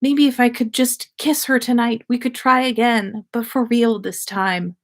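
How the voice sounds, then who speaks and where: Woman acting a breathy, lovesick young woman. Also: on the high side, fairly even intonation, a little slow, voice pushed up. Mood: wistful. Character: lovesick young woman pining in private thoughts. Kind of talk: acted inner monologue in a read-aloud story.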